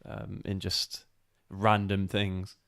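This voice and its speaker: A man's voice: deep voice, completely flat delivery, slow, vocal fry, fairly bright tone, dry recording, studio quality. A man co-hosting a casual motorcycle live stream with his wife, greeting viewers by name.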